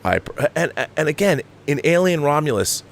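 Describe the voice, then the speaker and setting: A man's voice: fairly high pitch, sing-song, moderate pace. A man hosting a film commentary show, giving an opinionated, exasperated and emphatic review peppered with swearing. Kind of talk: opinionated movie review rant.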